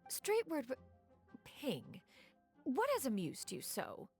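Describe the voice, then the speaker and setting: A woman's voice: sits high, huge pitch swings, takes it slowly, husky, faint music behind, heavily acted. A woman voicing a formal, reserved immortal lady in a video game, speaking in stately archaic phrases. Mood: composed, formal. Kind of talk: scripted video game voice acting.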